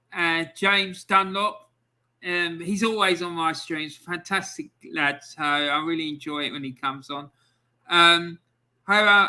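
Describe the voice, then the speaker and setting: A man's voice: high voice, sharp tone. A man hosting a casual podcast interview, talking in a loose, chatty way with some hesitation. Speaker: podcast host.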